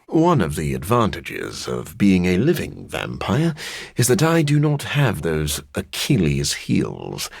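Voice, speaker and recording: An actor playing a brooding, erudite vampire scientist in a video game, raspy and gravelly, with formal, precise, deadpan phrasing and a dry sardonic streak. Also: male voice, low voice, dry recording, heavily acted. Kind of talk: video game character voice lines.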